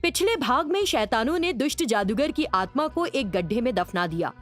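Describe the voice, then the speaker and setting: A woman's voice: on the high side, sing-song, normal speed, faint music behind. A woman narrating a scripted children's cartoon story.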